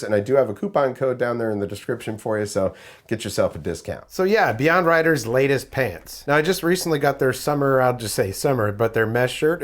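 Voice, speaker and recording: A man with a raspy, hoarse voice, chatting casually in a rambling way as he reviews riding gear for his vlog. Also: mid-range voice. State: raspy, hoarse voice after illness.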